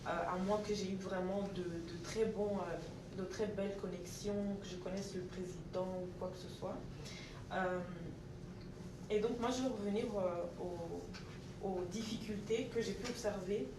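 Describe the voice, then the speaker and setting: A woman's voice: mid-range voice, fairly even intonation, measured pace. Young Belgian woman on a far, echoey mic. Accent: Belgian French. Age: 20s. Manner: earnest, self-correcting with many fillers. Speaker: panel guest. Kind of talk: panel discussion. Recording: echoey room from far off, noisy.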